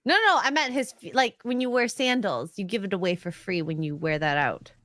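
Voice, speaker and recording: A woman's voice: mid-range voice, animated, brisk, fairly bright tone, no echo. A woman in casual livestream chat, playful and teasing.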